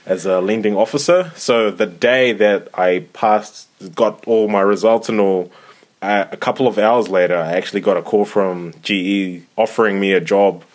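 A man's voice: fairly low pitch, normal speed. A man in his twenties from Zimbabwe, co-hosting a casual podcast, talking with lots of fillers and restarts. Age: 20s. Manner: hesitant, many fillers and restarts. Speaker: podcast co-host.

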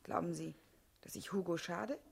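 An actress playing a wry, defiant young bourgeois wife in a German radio drama, teasing, with a husky, breathy voice. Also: female voice, lowish voice, moderate pace, heavily acted. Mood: wounded, defiant.

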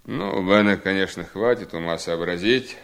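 An actor playing a bitter, resolute husband in a Soviet seventies radio drama, speaking in a deliberate, ironic, emphatic way. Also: male voice, deep voice, fairly bright tone. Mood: cold, resolute. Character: bitter, resolute husband coolly punishing his scheming wife.

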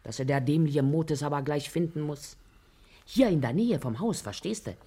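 A woman's voice: low voice, expressive, moderate pace, warm tone, husky, full character voice. An actress playing a scheming, bossy working-class wife and mother in a radio play, scolding and wheedling in German regional dialect.

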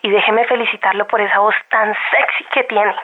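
A woman's voice: mid-range voice, fairly even intonation, moderate pace. A woman playing an admiring, flirty caller in satirical radio comedy, through a telephone filter. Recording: tinny phone-line sound.

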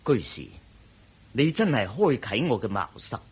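A man acting in a radio drama as a venerable old Buddhist monk, humble, deferential and expressive, with a husky voice. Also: medium pitch, measured pace, exaggerated voice. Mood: humble, grateful.

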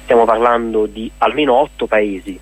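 A man's voice: on the low side, fairly even intonation, normal speed, slightly rough, no room sound, rough recording. A man, an activist guest giving earnest, long, spontaneous explanations in a radio interview. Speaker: activist guest presenting an event.

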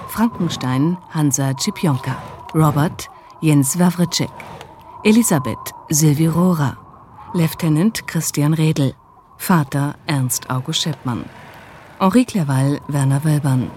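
Announcer brief: woman, deep register, formal and unhurried credit reading, clear background noise. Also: darkish tone. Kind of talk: formal scripted radio announcement.

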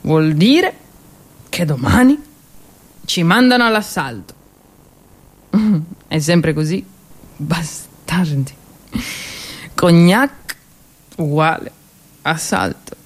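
A woman performing a theatrical monologue as a drunk, lonely woman soldier in a First World War trench, rambling and halting in her speech. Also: sits low, animated, exaggerated voice. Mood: bitter, tipsy. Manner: slurred, halting, tipsy rambling.

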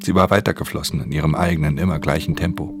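Weary loner pickpocket, super deep voice, understated radio drama narration, music behind. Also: male voice, steady tone. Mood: brooding, watchful. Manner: measured, introspective, understated. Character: male professional pickpocket, haunted, watchful, weary loner.

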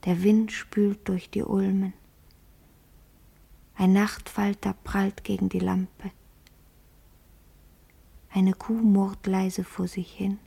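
An actress playing a dreamy countrywoman in a literary radio play monologue, murmuring in a hushed, breathy whisper. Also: medium pitch, little pitch movement, slow pace, long pauses, heavily acted.

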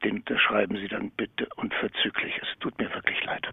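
A frightened male publisher in a radio crime play. The voice is extremely creaky and raspy, heard as a dull, dry telephone sound. He pleads formally and apologetically. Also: lowish voice, level tone, a little fast, exaggerated voice. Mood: pleading, apologetic. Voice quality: gravelly, raspy. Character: frightened male publishing house owner, anxious, apologetic, evasive. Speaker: radio drama actor. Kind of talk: German radio crime drama acting, phone dialogue.

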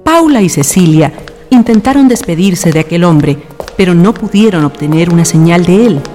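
A woman's voice: on the low side, animated, normal speed, mellow, faint music behind. A woman giving scripted narration for a radio drama, in a storyteller's role.

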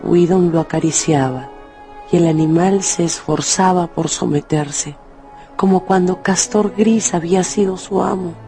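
A male narrator telling a dramatised story for radio in a measured, expressive way. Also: high voice, soft background music.

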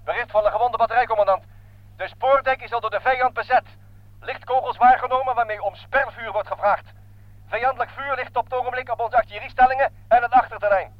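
An actor playing a dutiful army lieutenant who gives formal military reports by telephone in a wartime radio play. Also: male voice, very high-pitched, fairly even intonation, quick pace, no echo. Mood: urgent, formal. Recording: muffled, dry old radio recording.

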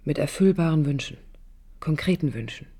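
Nachdenkliche junge Frau, weiche, dunkle Stimme. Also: female voice, sits low, lively intonation, normal speed, dark tone, slightly rough, full character voice. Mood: reflective, yearning. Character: reflective young woman architect, yearning, narrating her life. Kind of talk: literary inner monologue, 1980s radio play.

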